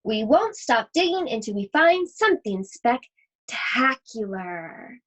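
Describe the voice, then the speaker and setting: A woman's voice: on the high side, big pitch swings, slow pace, a bit bright, full character voice. A woman reading the part of an earnest, matter-of-fact young boy on a digging adventure in a picture book.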